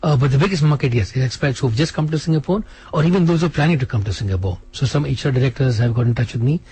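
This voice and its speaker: Fast-talking man with a dark, husky voice. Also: medium pitch. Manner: fast, animated, restarts often. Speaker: interview guest, author. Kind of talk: casual radio interview. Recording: radio studio.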